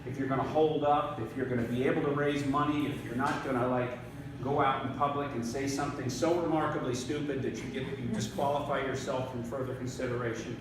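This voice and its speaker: A man's voice: medium pitch, fairly even intonation, brisk, background noise. A man in his fifties from northern New York, a congressional candidate giving a folksy, conversational campaign speech with fillers and repeated words. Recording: echoey room recorded from far off.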